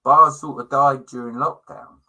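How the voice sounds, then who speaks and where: A man's voice: mid-range voice, steady tone, measured pace, sharp tone. A middle-aged British man in casual livestream chat, talking in a chummy, opinionated way with slang and swearing. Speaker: livestream co-host.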